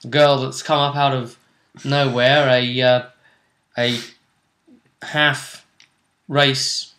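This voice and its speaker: A man's voice: mid-range voice, flat delivery, extremely slow, fairly bright tone. A man casually recapping a tabletop roleplay session as game master. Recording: roomy, echoing room sound.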